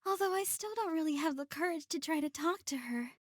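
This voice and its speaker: A woman's voice: high-pitched, lively intonation, a little fast, no echo, clean studio sound. A woman playing a timid, sweet young church girl in a video game, speaking in a hesitant, earnest way. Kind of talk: video game character acting.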